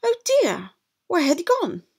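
A woman's voice: very high-pitched, wildly swooping pitch, unhurried, vocal fry, pitched above the performer's own voice, exaggerated voice. A woman voicing a snobbish, bossy, fussy mother in a storybook, haughty and exclamatory. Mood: indignant, alarmed. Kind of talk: storybook read-aloud.

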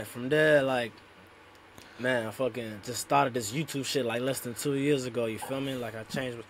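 A man's voice: medium pitch, slight background noise. A man in his twenties, born in Sudan and raised in Australia, talking as a guest over a video call in laid-back street slang. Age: 20s. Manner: laid-back street slang, constant "you know what I'm saying". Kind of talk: casual interview talk. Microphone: headphones on, video call.

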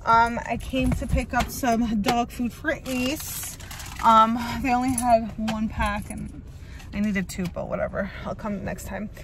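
Young woman vlogger, 20s, higher voice, chatty filler-heavy delivery, phone mic at arm's length, noisy home background. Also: fairly even intonation, unhurried. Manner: chatty, rambling, lots of ums and likes. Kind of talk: casual chat to camera. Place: home living room and car. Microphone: handheld phone at arm's length.

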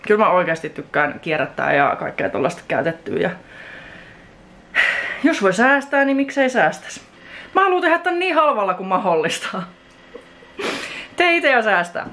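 A woman's voice: mid-range voice, very animated, long pauses, fairly bright tone, slight background noise. A young woman in her twenties vlogging a do-it-yourself renovation, chatty and self-mocking, cursing casually as she talks to a handheld camera in a bare room. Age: 20s. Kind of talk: casual DIY renovation vlog. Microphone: handheld camera mic. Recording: echoey empty room with background music.

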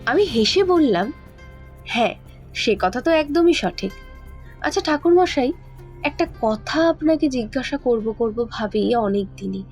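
A woman's voice: on the high side, animated, unhurried, a little mellow. A woman reading scripted first-person narration for a dramatized audio horror story. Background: faint background music.

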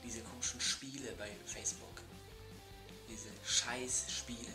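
A man's voice: mid-range voice, steady tone, measured pace. Exasperated young man in an echoey room. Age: young adult. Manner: emphatic, repetitive, exasperated. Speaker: video blogger. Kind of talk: ranting comedic vlog. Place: bedroom, indoors. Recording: echoey room, music behind.

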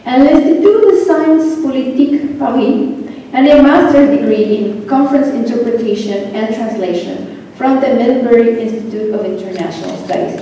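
A woman's voice: mid-range voice, warm tone, slight background noise. A woman moderating a panel, reading a speaker's introduction and correcting her own slips. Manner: reads bios, stumbles and self-corrects. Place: community meeting hall, indoors. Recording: echoey hall from far off.

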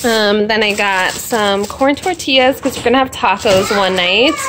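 A young woman talking casually in a home kitchen, rambling with plenty of fillers and self-deprecating asides. Her voice sits at an ordinary pitch for a woman. The phone recording carries clear background noise throughout. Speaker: vlogger. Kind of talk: casual grocery haul chat.

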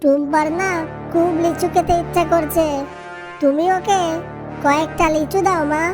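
A high-pitched little boy cub voiced by a woman from a children's cartoon, friendly and carefree. The delivery is childlike and cheerful, with music behind. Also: steady tone, normal speed.

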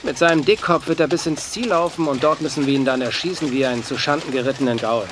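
An actor in a German audio drama playing a learned, grumbling, anxious companion, speaking in a dry, wordy, mannered way. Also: male voice, fairly high pitch, fast talker, a bit bright, slight background noise, natural voice. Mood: uneasy.

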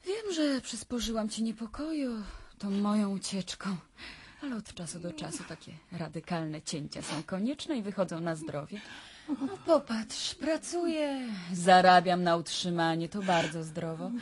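An actress playing a headstrong young runaway from a good family, with a husky voice, in a radio drama. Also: female voice, mid-range voice, sing-song, unhurried. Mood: breezy, insistent.

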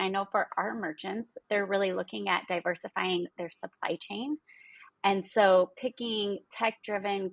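A woman's voice: mid-range voice, creaky, a bit bright, husky, no echo, low-quality recording. A woman in her thirties giving explanatory, businesslike interview answers as a guest on a video call. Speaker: interview guest, logistics partnerships executive. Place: indoor room, video call. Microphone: video call, close framing.

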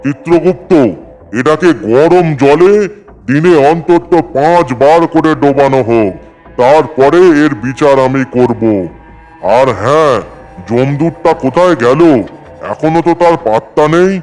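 A man acts out a stern underworld judge for a cartoon. His voice sits fairly high for a man, scolding and commanding, with light music underneath. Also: unhurried, darkish tone, lightly acted. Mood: annoyed, commanding. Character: stern, scolding lord of death judging sinners in the underworld. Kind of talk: Bengali cartoon voice acting.

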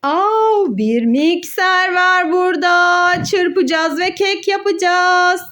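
A woman with a very high-pitched voice. She talks to young children as a mother leading an educational game. Her delivery is sing-song and playfully instructive, full of questions and exclamations. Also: takes it slowly, a bit bright. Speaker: mother hosting a children's educational play video. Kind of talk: child-directed play narration with questions and answers.

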